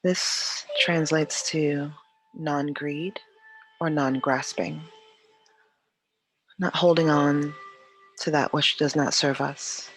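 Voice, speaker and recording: A yoga teacher leading a guided meditation in a calm, soothing, unhurried way with long pauses. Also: medium pitch, rough recording.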